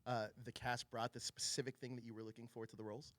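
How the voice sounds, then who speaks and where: A man's voice: medium pitch, flat delivery, fast, a bit bright, no room sound, studio quality. A man hosting a casual entertainment interview, enthusiastic and affirming with his guests.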